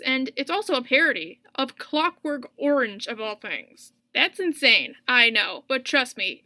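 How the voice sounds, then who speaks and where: A woman's voice: sits high, bright, forceful tone, no room sound. A young woman narrating a casual scripted video review, chatty and full of wry asides.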